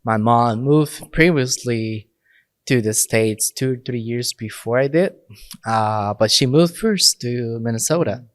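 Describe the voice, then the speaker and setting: A man's voice: mid-range voice, slow, no room sound, clean studio sound. A young adult man from Mexico, a priest and podcast guest, who speaks English as an in short phrases and laughs often. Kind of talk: casual interview talk.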